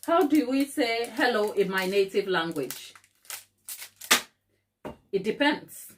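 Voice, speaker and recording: A woman's voice: medium pitch, animated, slow, background noise. A middle-aged woman from Ghana, hosting a cake tutorial live stream in a chatty, laughing way and talking straight to her viewers. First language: Twi. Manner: chatty, laughs often, addresses viewers directly.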